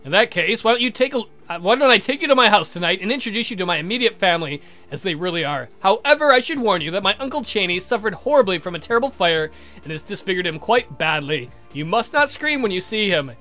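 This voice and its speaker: A man's voice: high-pitched, sing-song, fast, sharp tone, soft background music, heavily acted. A man voicing a courtly adult vampire count in a visual novel, earnest and doting, with formal, gallant speech. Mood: solemn. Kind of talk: voiced visual novel dialogue.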